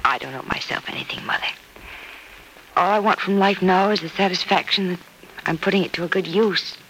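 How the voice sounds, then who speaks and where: Noisy old radio soap, actress playing young American woman, high bright voice, earnest, emotive. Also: fairly quick, close to an everyday voice. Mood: wistful, earnest. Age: young adult. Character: earnest young woman, dutiful daughter with selfless resolve. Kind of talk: 1940s radio soap opera acting. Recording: noisy vintage radio drama recording.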